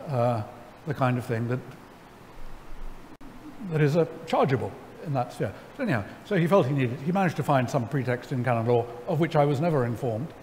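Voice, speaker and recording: A middle-aged man, seated on stage, telling a story to an audience in a wry, animated way, full of ums and restarts. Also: medium pitch, brisk, a little hiss or hum. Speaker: lecture guest. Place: large church sanctuary before an audience. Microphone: headset mic. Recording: hall reverb, faint ambience.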